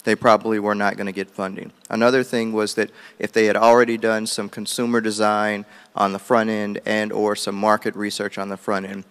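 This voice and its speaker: A man's voice: on the low side, little pitch movement, fairly quick, few pauses, a bit bright, clear, resonant tone. A man reporting his group's points to a meeting, matter-of-factly and item by item. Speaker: workshop group spokesperson. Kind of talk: informal spoken report to a meeting.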